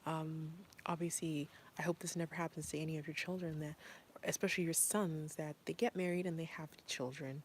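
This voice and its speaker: Woman from Zimbabwe, deep-ish voice, casual parent vlogger, earnest and rambling. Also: fairly even intonation, fairly quick, slight background noise. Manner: earnest, rambling with many fillers. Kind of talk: casual talk to camera.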